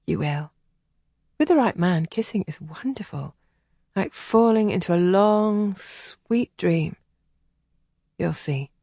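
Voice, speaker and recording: A woman narrator voicing a composed, caring female companion who speaks gently and matter-of-factly. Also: lowish voice, lively intonation, slow, pauses often, no room sound, studio quality, full character voice. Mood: gentle. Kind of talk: audiobook character voicing.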